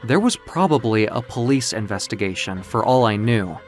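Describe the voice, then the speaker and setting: A narrator telling a dramatic story in a measured, literary, theatrical way, as in an audio comic book. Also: mid-range voice, animated, faint music behind.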